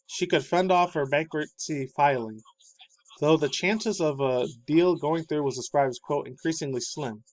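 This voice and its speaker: A man in his thirties ranting about pop culture news, loud, profane and heated, shifting between yelling and low muttering, with a slightly raspy voice. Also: moderate pace, poor audio. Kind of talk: angry ranting commentary.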